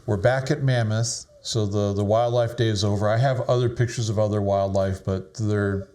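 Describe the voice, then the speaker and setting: A man's voice: fairly low pitch, steady tone, moderate pace, mellow. A middle-aged man casually talking through his photos, modest and rambling, laughing often. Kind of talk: casual chat.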